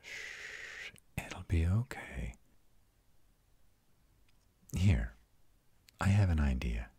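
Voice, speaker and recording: Male actor as vampire lover, rock-bottom pitch, breathy, soothing, intimate, patient, very dry close recording, audio roleplay. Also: slow pace, a little mellow, dry recording, exaggerated voice. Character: arrogant male vampire lover, tender and reassuring. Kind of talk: intimate comfort roleplay, acted to listener.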